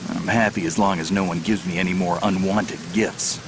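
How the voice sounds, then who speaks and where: An actor playing a gruff, terse veteran male infiltration soldier in a video game cutscene. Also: deep voice, fairly even intonation, moderate pace. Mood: laconic. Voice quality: gravelly, terse. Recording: game audio with rain noise behind.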